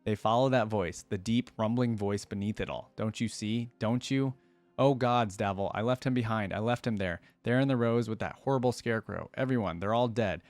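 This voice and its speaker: Man reading as a haunted, low-voiced village survivor. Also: darkish tone, no room sound, faint music behind, lightly acted. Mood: frantic, despairing. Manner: halting, dramatic storytelling in short phrases. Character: terrified haunted village militiaman, lone survivor.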